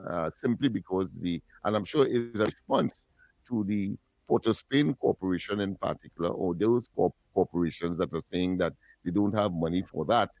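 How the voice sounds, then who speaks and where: A man giving measured radio commentary in formal wording with many fillers. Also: deep voice, vocal fry, a little mellow, dry recording, poor audio. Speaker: radio co-host.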